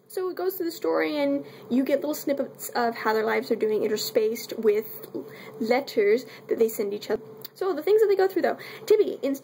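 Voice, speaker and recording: A woman with a fairly high voice and plenty of vocal fry talks through a book review off the cuff. She is candid and irreverent, dropping wry asides and the odd swear word. There is slight noise behind her. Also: a little mellow, low-quality recording. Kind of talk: casual unscripted video book review.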